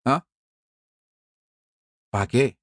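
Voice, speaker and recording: A man's voice: drawn-out words, fairly bright tone, no echo, clean studio sound. Profane ranting Puerto Rican man. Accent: Puerto Rican Spanish. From Puerto Rico. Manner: profane, ranting, rhetorical questions. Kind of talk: heated political monologue.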